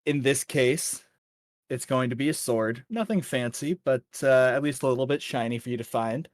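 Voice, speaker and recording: A man voicing a seasoned, folksy mentor in a tabletop game, talking in an encouraging, colloquial, halting way. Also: mid-range voice, no echo, studio quality, lightly acted. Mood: briefing. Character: seasoned folksy male mentor sending young adventurers out.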